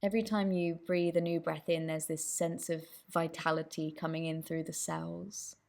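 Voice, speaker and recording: A woman leading a guided meditation as the instructor, with gentle, inviting instructions. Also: sits low, little pitch movement, normal speed, vocal fry.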